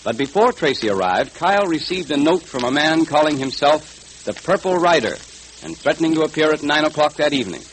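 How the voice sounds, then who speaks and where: Man, 1940s radio announcer, slightly high pitch, salesman's emphasis, polished narration, faint hiss. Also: fairly quick. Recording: crackly old radio recording, steady hiss.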